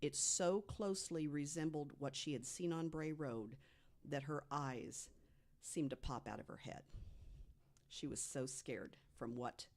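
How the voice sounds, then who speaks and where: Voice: mid-range voice, unhurried, darkish tone. A podcast panelist narrating a researched true story to co-hosts, reading from notes and correcting the odd slip. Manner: reads from notes, corrects slips.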